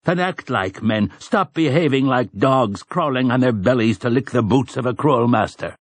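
A man's voice: mid-range voice, normal speed, husky, no echo, natural voice. A man voicing a stern old priest in an audiobook, commanding and oratorical, with rustic, archaic turns of phrase. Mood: stern, urgent. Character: stern, weathered old priest rallying frightened villagers. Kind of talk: audiobook character dialogue.

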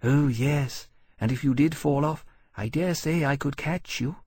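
Storybook young dragon, performed by a man: innocent, courteous, curious, slightly raised gravelly voice. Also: on the high side, lively intonation, a little slow, pitched above the performer's own voice. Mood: earnest. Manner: earnest, polite, innocent. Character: young male dragon, earnest, gentle, curious.

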